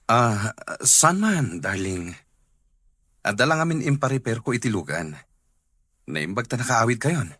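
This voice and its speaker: A husky-voiced, expressive family man. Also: mid-range voice, measured pace, long pauses, no echo, full character voice. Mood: affectionate. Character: evasive, sweet-talking husband and father. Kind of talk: Ilocano radio drama acting.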